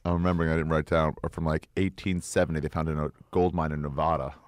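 A man's voice: very deep voice, little pitch movement, quick pace, no echo, clean studio sound. A man speaking as a guest comedian in casual, colloquial, laid-back conversation. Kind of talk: casual chat.

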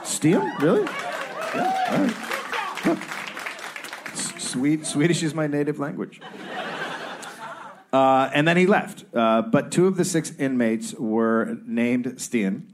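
A man's voice: fairly high pitch, sing-song, slow, pauses often, over a noisy crowd, very noisy. A man hosting a live comedy podcast, reading out a history story from his notes, stumbling over foreign names and joking along the way. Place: stage before a live audience.

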